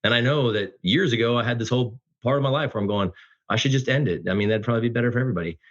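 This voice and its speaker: A man's voice: medium pitch, rapid-fire. A middle-aged man talking casually and earnestly as an effusive podcast guest. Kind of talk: casual heartfelt conversation. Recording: dull lo-fi audio.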